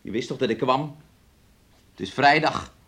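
A man's voice: mid-range voice, normal speed, fairly bright tone, natural voice. An actor playing a domineering, self-absorbed man in a radio play, nagging and coaxing his sick lover and calling himself by name. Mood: disgusted, scolding. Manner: talks of himself in the third person, nagging and coaxing. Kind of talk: Dutch radio play acting.

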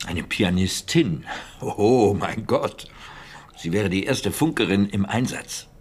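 An actor playing a talkative, defensive wartime British intelligence officer in a German radio drama, speaking conversationally and emphatically. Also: male voice, lowish voice, lively intonation, takes it slowly, husky, full character voice. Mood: scoffing, defensive. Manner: conversational, emphatic, with hesitations and scoffing.

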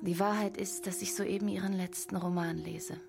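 A woman's voice: medium pitch, steady tone, normal speed, gravelly, close to an everyday voice. An actress playing a mysterious, ardent female admirer, speaking formally and floridly in a radio drama. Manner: formal, florid, theatrical letter-reading. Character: mysterious ardent woman admirer writing to a novelist.

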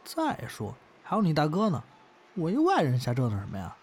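A man's voice: fairly high pitch, huge pitch swings, brisk, a little mellow, breathy edge, exaggerated voice. A man playing a chatty, needling acquaintance in an audio drama.

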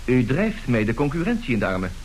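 A man's voice: medium pitch, big pitch swings, quick pace, slight background noise, natural voice. An actor as a theatrical, glib male swindler. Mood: cool, brazen. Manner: theatrical, swinging from cool bargaining to florid pleading. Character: glib, brazen male swindler and sweet-talking seducer of many women. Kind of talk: radio play acting. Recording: roomy radio drama recording.

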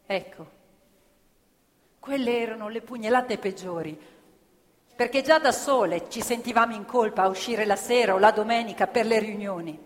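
A woman acting a former factory worker and union militant, proud and grieving, in an emphatic, deliberate theatrical monologue. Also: fairly high pitch, close to an everyday voice. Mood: proud, regretful. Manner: emphatic repetitions, deliberate phrasing. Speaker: stage actress in radio theatre. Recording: reverberant theatre hall, music playing along. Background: live violin music.

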